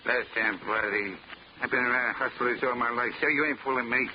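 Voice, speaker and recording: An actor in a 1940s radio drama playing a dim, cocky small-time hoodlum who talks in bad-grammar street slang. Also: male voice, medium pitch, steady tone, fairly quick, natural voice. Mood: self-important. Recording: muffled, noisy 1940s radio recording.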